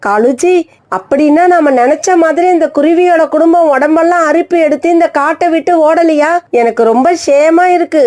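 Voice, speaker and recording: A woman's voice: extremely high pitch, moderate pace, darkish tone. A woman voicing a greedy, scheming female crow in a cartoon, wheedling and pushy. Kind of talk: animated cartoon voice acting.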